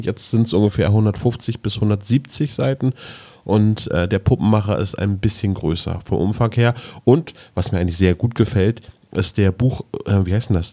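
A man with a fairly low voice talks as a podcast host in a loose, conversational way. He feels his way through sentences, pausing to find his words. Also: dark tone. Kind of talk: casual chat.

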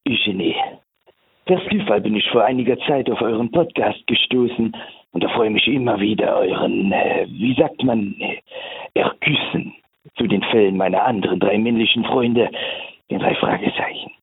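A man leaving a voicemail, voicing a suave male French gentleman thief with a put-on French accent, theatrical and flowery. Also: lowish voice, vocal fry, husky, phone-quality sound. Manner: theatrical, flowery, halting over words. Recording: phone voicemail.

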